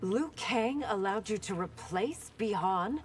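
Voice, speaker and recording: Warrior princess, slow, lively intonation, cool, cutting, game music behind. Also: female voice, medium pitch. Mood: challenging. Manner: cool, deliberate, challenging. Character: poised, sharp-tongued warrior princess. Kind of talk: video game dramatic dialogue.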